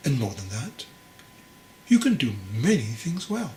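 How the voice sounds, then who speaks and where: A man voicing a wise, patient old monk master, teasing and instructive, in a measured delivery for a storybook. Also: mid-range voice, very animated, warm tone. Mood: gently teasing. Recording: clearly noisy recording.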